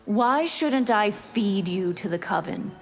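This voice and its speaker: Proud female vampire for a fighting game: cutting, disdainful, threatening, mid-pitched. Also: expressive, takes it slowly, creaky, big-room sound, soft background music. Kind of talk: video game dramatic dialogue.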